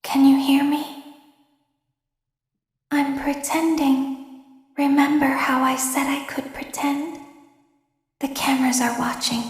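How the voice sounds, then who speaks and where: Woman playing an eerie robot girl, calm and manipulative, game character, high voice, roomy echo. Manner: slow, measured, often hushed whispers. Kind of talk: fan voice acting of game lines.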